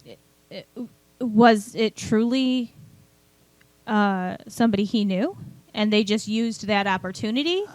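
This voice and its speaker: A woman's voice: medium pitch, lively intonation, unhurried, darkish tone, no room sound, poor audio. A woman in casual chat as a co-host giving feedback, with informal, tentative phrasing. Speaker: co-host critiquing a chapter.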